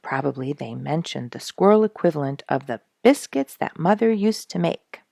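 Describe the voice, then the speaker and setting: A woman reading a children's animal story aloud in an expressive, dramatic storyteller's manner. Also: deep voice, moderate pace, creaky, no echo, studio quality. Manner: dramatic, rhetorical questioning delivery.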